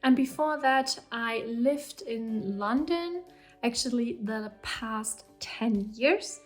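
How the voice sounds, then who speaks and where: A woman's voice: on the high side, takes it slowly, soft background music. Upbeat German-accented woman vlogging. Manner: chatty, upbeat, lots of "you know". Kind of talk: casual vlog talk to camera.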